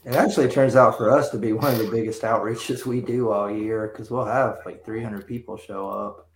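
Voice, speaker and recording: Low-voiced man in a roomy space. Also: steady tone, moderate pace. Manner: easygoing, laughs readily. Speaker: guest, ministry leader. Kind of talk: casual conversation. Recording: roomy sound with faint noise.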